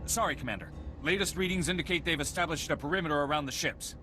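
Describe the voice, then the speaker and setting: An actor playing a brisk military subordinate reporting to his commander in a video game. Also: a little high, music in the background, close to an everyday voice. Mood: brisk, dutiful. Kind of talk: video game cutscene acting.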